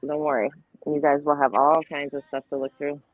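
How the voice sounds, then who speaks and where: A woman's voice: low voice, level tone, brisk, no room sound. A woman speaking as a guest in a casual livestream chat. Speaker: panel guest. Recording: tinny phone-like line, music underneath.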